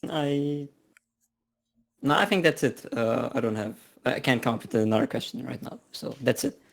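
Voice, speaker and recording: A man's voice: mid-range voice, animated, normal speed, gravelly. A man asking a question as an audience member, talking casually and hesitantly, repeating words as he goes. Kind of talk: casual chat.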